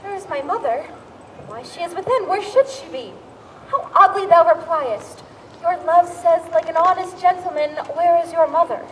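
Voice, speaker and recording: A woman's voice: very high voice, lively intonation, moderate pace. A woman playing a young, impatient, lovestruck girl, declaiming Shakespearean verse with eager theatrical pleading. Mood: impatient, wheedling. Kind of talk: Shakespearean stage acting, verse. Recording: distant echoey hall, background noise.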